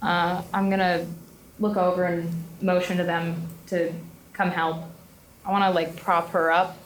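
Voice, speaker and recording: Woman playing ttrpg casually, lowish voice, echoey room, bit of noise. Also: flat delivery, measured pace, recorded from a distance. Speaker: tabletop game player. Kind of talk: casual in-character roleplay.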